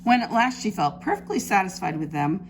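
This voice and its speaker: A woman reading a children's storybook aloud in an expressive, emphatic preschool-teacher style. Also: medium pitch, a little fast, background noise.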